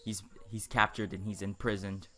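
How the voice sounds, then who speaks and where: A man's voice: lowish voice, flat delivery, moderate pace, bright, forceful tone. A man giving casual let's play commentary on a video game, in a hesitant, rambling, stop-and-start way. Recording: game music behind the voice. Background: video game music.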